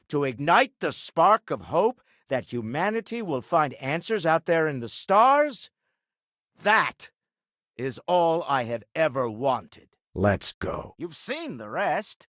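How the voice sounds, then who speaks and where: An actor playing a wealthy, urbane older businessman explorer in a video game, speaking in a refined, measured way with dry wit. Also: on the high side, fairly bright tone, no echo, heavily acted. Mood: earnest. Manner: refined, measured, dryly witty phrasing. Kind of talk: video game character dialogue.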